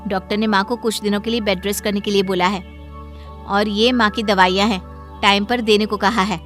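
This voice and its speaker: A woman's voice: medium pitch, fairly even intonation, a little fast, a bit bright, soft background music, natural voice. A woman voicing a blunt, suspicious young sister-in-law who talks in a pointed, scolding way in a cartoon family story. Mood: annoyed. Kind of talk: Hindi moral cartoon story voice acting.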